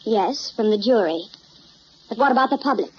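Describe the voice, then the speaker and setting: An actress playing a poised, wealthy widow in an old crime drama, formal, composed and courteous. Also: female voice, a little high, expressive, normal speed, close to an everyday voice. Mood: earnest. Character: poised, genteel, soft-mannered wealthy widow. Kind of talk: mid-century American crime drama acting. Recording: muffled, noisy old drama soundtrack.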